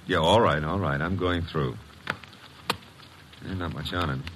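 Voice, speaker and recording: A man's voice: sits low, a little slow. An actor playing a breezy, wry male detective's assistant in an old-time radio mystery, slangy and easygoing. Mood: reluctant. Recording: old radio recording, clear background noise.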